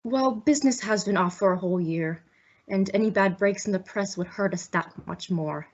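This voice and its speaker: A woman's voice: mid-range voice, moderate pace, lightly acted. Guarded, image-conscious store executive for radio drama, played by a young woman; formal delivery, mid-range voice, lo-fi sound. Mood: guarded, worried. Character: worried, image-conscious department store boss. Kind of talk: old-time radio drama acting. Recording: dull lo-fi audio.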